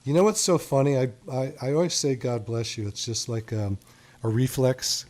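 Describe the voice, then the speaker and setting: A man's voice: mid-range voice, moderate pace, darkish tone, a little hiss or hum. A man hosting a radio call-in show as a doctor, chatty and reassuring with callers.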